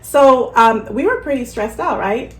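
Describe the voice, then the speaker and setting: A woman hosting a casual chat, lively and joking, with slangy asides. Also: a little high, a little slow. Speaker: host. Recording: echoey room, faint ambient noise.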